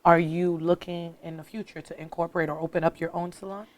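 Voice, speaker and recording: A woman from South Florida hosting the chat, asking lively, probing questions. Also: lowish voice, quick pace, dry recording. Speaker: host. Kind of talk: casual interview chat.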